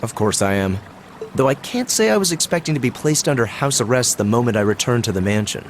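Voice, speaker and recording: A man's voice: medium pitch, animated, a little fast, close to an everyday voice. An actor playing a proud, aloof young nobleman in a video game, formal and haughty with a dry, sardonic edge. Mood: bitter, composed. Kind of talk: dramatic video game voice acting. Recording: clear water noise behind voice. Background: running water ambience.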